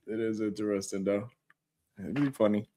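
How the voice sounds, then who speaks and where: A man's voice: lowish voice, steady tone, moderate pace, warm tone, a little hiss or hum. A man hosting a live stream in casual chat, telling loose slangy stories and laughing often.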